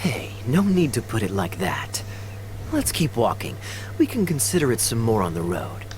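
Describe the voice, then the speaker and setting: An actor voicing a suave, teasing young male knight in a fantasy video game, smooth and playfully eloquent. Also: medium pitch, wildly swooping pitch, normal speed, a little mellow, slight background noise. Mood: casually persuasive. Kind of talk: polished video game character acting. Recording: game dialogue over background music.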